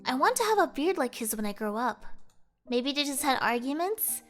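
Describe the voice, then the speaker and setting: A woman reading a visual novel aloud as a let's play host, with expressive narration and brief asides. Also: high-pitched, moderate pace, soft background music.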